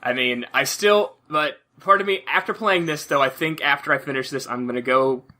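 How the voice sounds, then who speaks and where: A man's voice: a little high, moderate pace, bright, forceful tone. A man chatting casually as a podcast host, rambling along with "like" dropped into nearly every sentence. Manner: rambling, heavy use of "like". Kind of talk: casual chat about video games.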